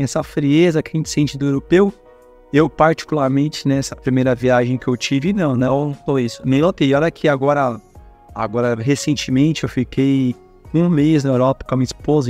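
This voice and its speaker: Chatty Brazilian man, higher voice. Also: a little mellow. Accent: Brazilian Portuguese. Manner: chatty, talks with his hands, frequent tag questions. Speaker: guest speaker at a career fair. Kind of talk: casual storytelling interview.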